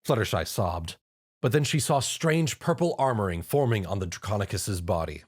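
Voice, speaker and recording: A man reading fan fiction aloud with lively, expressive narration. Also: on the low side, moderate pace, breathy edge. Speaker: reader.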